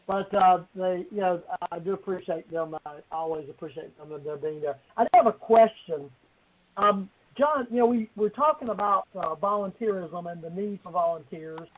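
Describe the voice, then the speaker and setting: A man's voice: high voice, normal speed. A man speaking as a council member, making informal, rambling remarks in a budget meeting that circle back to the point. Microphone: conference call. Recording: thin, phone-like conference call.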